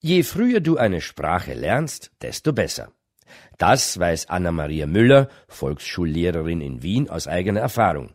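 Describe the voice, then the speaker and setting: A man, reading a scripted radio feature in a lively, emphatic way. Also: low voice, normal speed, no room sound, studio quality. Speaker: radio reporter.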